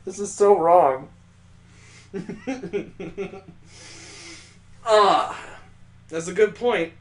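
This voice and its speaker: A man's voice: high voice, very slow, echoey room. A man hosting a gaming let's play, reading a visual novel aloud and breaking in with sarcastic, joking asides. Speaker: gaming video host.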